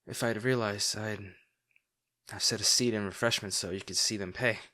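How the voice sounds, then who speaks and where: Male actor as devoted bodyguard, lowish voice, courtly wording, halting earnest delivery, intimate fantasy roleplay. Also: fairly even intonation, bright, forceful tone. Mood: earnest, softened. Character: remorseful, devoted male bodyguard to a noble lady. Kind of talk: dramatic roleplay audio acting, intimate fantasy drama.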